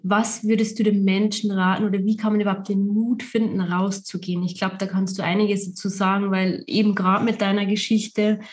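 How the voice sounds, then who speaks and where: A woman interviewing a guest in a calm, conversational way. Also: mid-range voice, brisk, few pauses. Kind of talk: casual interview talk.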